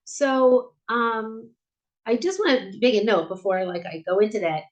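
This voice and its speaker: A woman's voice: a little high, a little slow, highs cut off. A middle-aged American woman, a bookstore owner, answering interview questions in a chatty way with frequent restarts and fillers. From United States.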